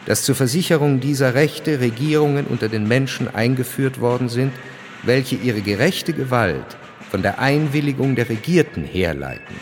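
A man narrating a scripted radio drama in a measured, formal manner. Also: medium pitch, lively intonation, soft background music.

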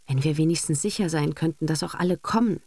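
An anxious middle-aged wife acted out by a woman in an audiobook, fretful and easily shocked, hungry for social standing. She murmurs and sighs with a creaky edge, very close and dry. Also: low voice, quick pace, darkish tone, no room sound. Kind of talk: literary audiobook character acting.

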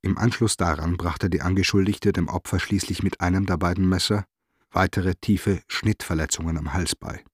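An actor playing an impassive official who reads a police record in a matter-of-fact, bureaucratic way in a radio drama. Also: male voice, deep voice, fairly even intonation, normal speed, slightly rough, no echo, clean studio sound. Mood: detached, factual. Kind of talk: formal read-out report in a radio drama.

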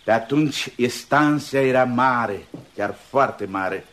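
An actor playing a courteous old labourer in a radio play, deferential and deliberate in his speech. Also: medium pitch, a bit bright, lightly acted. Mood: respectful, reminiscing. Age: older. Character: humble, courteous old former estate labourer. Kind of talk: Romanian radio theatre acting.

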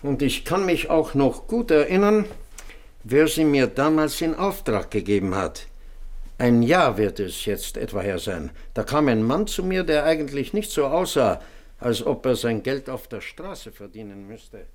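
A man's voice: mid-range voice, animated, unhurried, lightly acted. A man playing a wary but obliging barrel-organ maker, chatty and slightly fussy, in a German radio crime drama.

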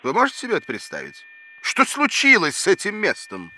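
A man's voice: high voice, huge pitch swings, brisk, pushed and bright, a little hiss or hum, exaggerated voice. A man playing a fussy, indignant, absent-minded professor in a children's educational audio drama, with a pompous, exasperated theatrical delivery.

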